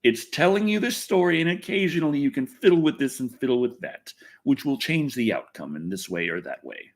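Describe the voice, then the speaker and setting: Animated, rambling man hosting a livestream. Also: medium pitch, a little fast. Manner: rambling, animated, self-interrupting. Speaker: livestream host. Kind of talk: casual gaming and movie news livestream.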